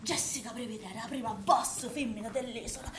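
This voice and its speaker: A woman's voice: on the high side, a little fast, a crowd in the background, natural voice. A woman playing a fiery, ambitious young Sicilian gangster boss in a comic stage play, bossy, volatile and dramatic, with a Sicilian accent. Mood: indignant, grandiose. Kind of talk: theatrical comic stage acting. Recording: echoey stage recording with loud noise.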